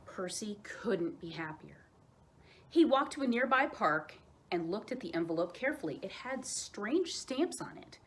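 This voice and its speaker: A woman telling children's stories aloud as a library storyteller, expressive, with dramatic emphasis and pauses. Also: medium pitch, slight background noise.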